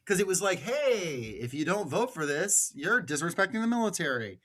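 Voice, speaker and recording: A man's voice: high voice, big pitch swings, moderate pace, fairly bright tone. A man with an American accent giving political commentary as a podcast host, partly reading from notes.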